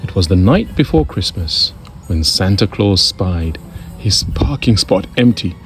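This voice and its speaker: A man hosting a storytime, narrating a children's picture book with deliberate, expressive delivery. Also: low voice. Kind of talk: children's read-aloud narration. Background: music.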